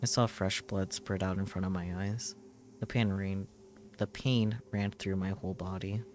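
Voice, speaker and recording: A man reading visual novel text aloud as a streamer, in dramatic, intense narration with measured short phrases. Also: deep voice, fairly even intonation, background noise. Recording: music behind the voice. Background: loud game music.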